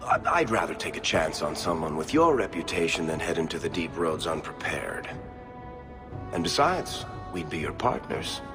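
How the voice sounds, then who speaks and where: Low male voice, roguish game dwarf, wry teasing salesman, confident, lively intonation. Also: moderate pace, heavily acted. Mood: confident, persuasive. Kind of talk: video game dialogue acting. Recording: game music behind the voice.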